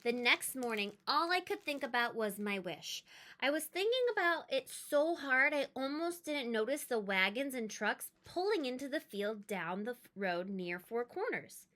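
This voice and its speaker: A woman reading a children's storybook aloud as a storyteller, with dramatic, expressive emphasis. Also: a little high, normal speed. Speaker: read-aloud storyteller, teacher.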